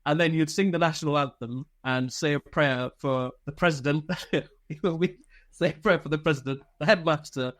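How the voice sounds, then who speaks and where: A man's voice: a little high, normal speed, a bit bright. Chatty man telling his story. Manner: chatty, informal, swears freely, chuckles often. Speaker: interview guest, former soldier. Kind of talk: casual personal storytelling.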